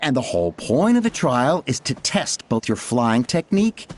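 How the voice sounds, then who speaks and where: An earnest young trainee. The voice is animated in pitch, hesitant and questioning, a doubtful video game character. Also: normal speed.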